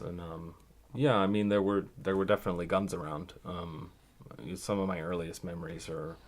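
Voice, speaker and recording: Man with a low voice, podcast guest; casual, reflective storytelling in halting phrases. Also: fairly bright tone. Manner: halting, thoughtful, pauses mid-sentence.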